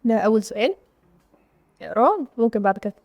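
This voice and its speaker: A woman's voice: mid-range voice, very animated, quick pace, creaky, dry recording, dull audio. A woman with an Egyptian accent hosting a casual interview. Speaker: interview host.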